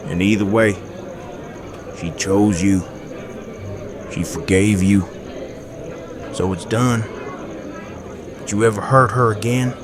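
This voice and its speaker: Man playing very deep-voiced, blunt, gruff survivor. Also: fairly even intonation, slow pace, long pauses. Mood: blunt, protective. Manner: terse, blunt, plain-spoken. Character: Daryl, gruff, blunt, protective male survivor. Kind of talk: audio drama acting. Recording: outdoor crowd chatter behind. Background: party crowd chatter.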